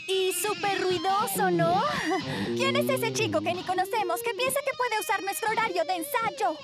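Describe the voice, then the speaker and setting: A woman's voice: very high-pitched, moderate pace, loud music behind the voice. A woman voicing a dramatic, easily indignant teenage schoolgirl in a dubbed cartoon, with theatrical exclamations. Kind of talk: cartoon dubbing.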